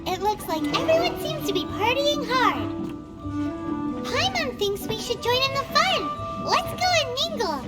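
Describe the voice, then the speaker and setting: Casting: tiny floating game fairy, extremely high childlike voice, chirpy and brash, music clearly behind. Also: female voice, sing-song, a little slow, exaggerated voice. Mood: cheerful, eager. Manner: refers to itself in third person, brash. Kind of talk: animated video game voice acting.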